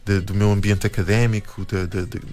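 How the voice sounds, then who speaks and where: A man with a European Portuguese accent, a musician and anthropologist talking reflectively in an interview, pausing and restarting often. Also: fairly low pitch, little pitch movement, a little mellow. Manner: hesitant, many restarts and fillers.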